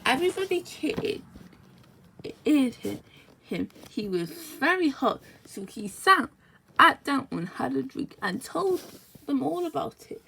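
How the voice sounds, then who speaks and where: A high-voiced young-sounding reader reading a children's storybook aloud, stumbling and repeating words along the way. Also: sing-song, slow pace, vocal fry, bright, forceful tone, slight background noise, rough recording.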